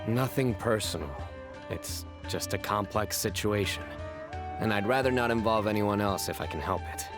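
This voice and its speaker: Game casting: male actor as serious loner lawman, low voice, restrained, formal delivery, faint music behind. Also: moderate pace, lightly acted. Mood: guarded. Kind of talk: video game voice acting.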